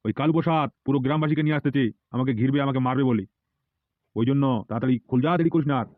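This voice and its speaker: A man's voice: mid-range voice, quick pace, no room sound, narrow, tinny audio, natural voice. A man voicing a bossy, foul-mouthed, easily rattled politician gang boss in a comic cartoon, barking orders. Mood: flustered, urgent. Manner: blustering, swearing, barking orders.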